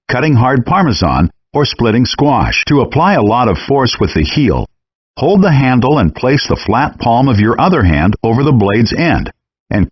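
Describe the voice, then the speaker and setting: A man reading scripted cooking-game instructions in a measured, clearly enunciated, explanatory way, in dull compressed audio. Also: mid-range voice, no room sound.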